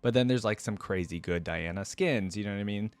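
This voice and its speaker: A man's voice: on the low side, brisk, clear, resonant tone, no room sound, soft background music. A man chatting casually and informally to his viewers as a reaction video host, rambling conversationally as he goes. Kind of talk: casual unscripted chat to viewers.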